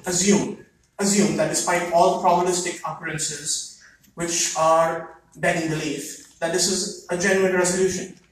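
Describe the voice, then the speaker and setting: Young Indian-accented man speaking, somewhat high voice. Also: fairly bright tone, slight background noise. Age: young adult. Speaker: student speaker at public comment. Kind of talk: impassioned public statement. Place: large meeting hall, heard from the audience. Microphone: phone recording from far back in the room. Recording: very echoey hall from far off.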